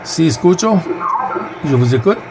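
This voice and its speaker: A high-voiced man with a Quebec French accent works as a CB radio operator. He makes casual contact calls, repeating short greetings. There is clear noise around his voice. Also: animated, unhurried. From Quebec, Canada. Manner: repeats greetings and call phrases in several languages. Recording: noisy CB radio session.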